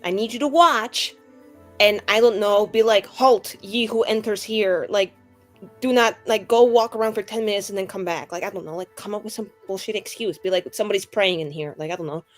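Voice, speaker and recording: A woman's voice: medium pitch, fairly quick, close to an everyday voice. A woman voicing a brash, sly young schemer in tabletop roleplay, rambling in slang and cajoling with mock sincerity. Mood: insistent, wheedling. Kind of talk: improvised tabletop roleplay dialogue. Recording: music behind the voice.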